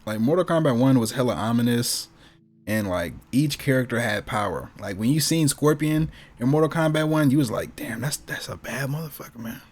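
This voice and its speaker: A man's voice: mid-range voice, lively intonation, fairly quick. An American man co-hosting a gaming show in casual, slangy, profane and enthusiastic chat.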